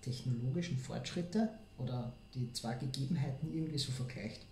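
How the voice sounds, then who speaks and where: A man's voice: medium pitch, normal speed, darkish tone. A man with an Austrian accent, chatting casually as a co-host. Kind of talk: casual chat, commentary. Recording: echoey room, faint background noise.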